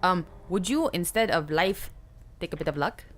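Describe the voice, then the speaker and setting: A woman's voice: on the low side, lively intonation, moderate pace. A woman playing a tabletop role-playing game, chatting casually and playfully, often repeating short phrases.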